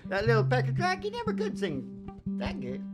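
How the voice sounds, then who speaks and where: A woman's voice: fairly high pitch, wildly swooping pitch, brisk. A woman hosting a casual radio show, rambling in a folksy, salty, blunt way. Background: acoustic guitar.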